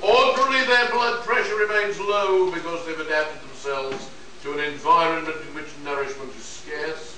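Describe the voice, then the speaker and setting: A man's voice: fairly high pitch, moderate pace, sharp tone. Pedantic male detective-scientist man acting, amateur radio play, lecturing tone, very reverberant distant recording. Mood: grave, explaining. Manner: pedantic, condescending, stumbling over script. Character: know-it-all male scientist detective lecturing on alien plants. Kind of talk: live amateur radio-play reading at a convention. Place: convention room, distant microphone. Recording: noisy echoey hall recording from far off.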